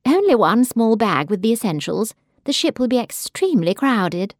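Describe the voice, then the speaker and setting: Woman doing a small bossy take-charge girl, high and brisk. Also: big pitch swings, no echo, clean studio sound. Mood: commanding. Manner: brisk, commanding. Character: brisk bossy young woman, petite, commanding.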